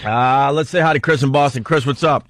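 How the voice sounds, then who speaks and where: Male radio host, medium-pitched and emphatic, casual sports banter, close dry sound. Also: a little fast, a bit bright, dry recording.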